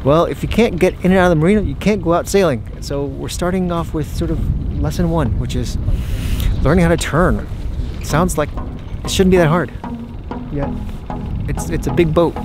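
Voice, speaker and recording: A man's voice: on the high side, fairly quick, pauses often, over music. A man narrating a family sailing vlog from his boat, easygoing and joking. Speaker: vlogger, father. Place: outdoors on a boat in a marina.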